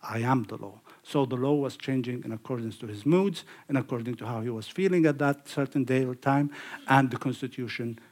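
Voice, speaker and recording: Guy giving a talk in english, husky voice, mid pitch, chatty with lots of uhs. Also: a little fast, darkish tone. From Iraq. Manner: conversational, with frequent fillers and restarts. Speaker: lecturer. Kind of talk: public lecture. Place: lecture hall.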